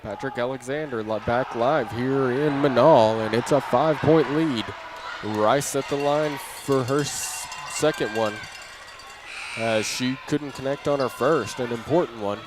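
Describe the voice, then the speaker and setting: A man's voice: mid-range voice, a little slow. A man doing live play-by-play basketball commentary in short, clipped running calls, as a sports announcer. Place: indoor gymnasium during a basketball game. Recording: noisy live gym broadcast.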